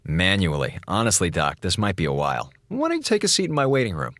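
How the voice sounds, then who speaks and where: An actor playing a weary, sarcastic communications officer on a space station in a radio drama, wry and melodramatic. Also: male voice, deep voice, huge pitch swings, fast, a bit bright, no room sound, clean studio sound, exaggerated voice. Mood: evasive. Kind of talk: radio drama acting, log narration.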